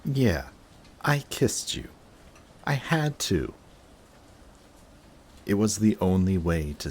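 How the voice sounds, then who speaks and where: A man playing an emotional villain confessing to his longtime enemy in a dramatic audio roleplay, intense, halting and defensive. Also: low-pitched, husky, full character voice. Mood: defensive, anguished.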